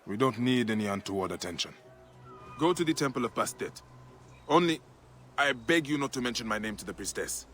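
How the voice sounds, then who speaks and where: An actor playing a weary, honourable Egyptian warrior in a video game, speaking in a solemn, deliberate way. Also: male voice, mid-range voice, expressive, a bit bright, faint music behind, close to an everyday voice. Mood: matter-of-fact. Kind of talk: dramatic video game acting.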